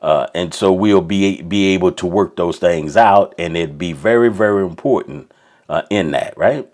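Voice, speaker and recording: A man's voice: deep voice, normal speed, a little mellow. A man preaching an informal sermon, rambling with many restarts and checking in with "right?". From Kentucky.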